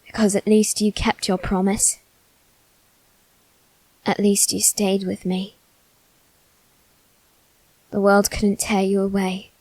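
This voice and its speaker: A woman voicing a melancholy teenage noble boy, resigned to death, in a soft, deliberate ASMR roleplay monologue with dramatic pauses. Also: mid-range voice, monotone, no room sound, poor audio. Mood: bitter, tender.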